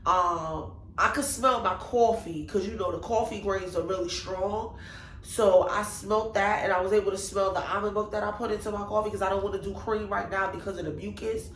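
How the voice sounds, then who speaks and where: A woman's voice: medium pitch, a little fast, echoey room, slight background noise. A young woman vlogger with a congested, nasal voice, chatting animatedly as she tells a personal story. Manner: animated, chatty, exclaims to God and Jesus. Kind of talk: casual storytime vlog.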